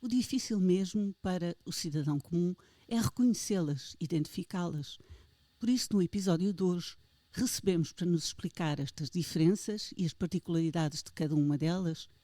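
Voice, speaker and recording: A woman's voice: fairly low pitch, fairly quick, mellow, dry recording. A woman with a European Portuguese accent hosting a health podcast, interviewing a guest with playful, theatrical questions. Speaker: podcast host.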